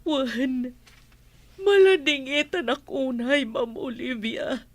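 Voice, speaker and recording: An actress playing a grief-stricken, deferential household elder in a melodrama, tearful and pleading. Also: female voice, sits high, no room sound. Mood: grieving, pleading. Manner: tearful, pleading, drawn-out delivery. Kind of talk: melodramatic Ilocano serial drama acting. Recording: music under the voice.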